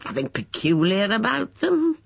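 A male narrator playing an aged, irritable country doctor with a gruff, dismissive manner, on a dull lo-fi recording. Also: high-pitched, wildly swooping pitch, normal speed, fairly bright tone, pitched above the performer's own voice, full character voice.

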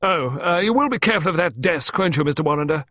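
A man voicing a big, jolly, brusque woman of about forty in an audiobook, with hearty, ringing, booming tones. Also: lively intonation, a little fast, a bit bright, no room sound. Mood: brusque, peremptory. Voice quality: hearty, ringing, almost masculine voice. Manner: booming, barking, peremptory. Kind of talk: audiobook character voice.

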